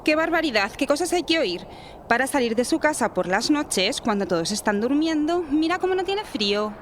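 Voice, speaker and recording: Radio play daughter: spiteful twenty-something woman, high, sarcastic, faint street noise. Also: sing-song, moderate pace. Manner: sarcastic, insinuating. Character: spiteful, gossiping unmarried woman of twenty-five. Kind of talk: radio drama dialogue.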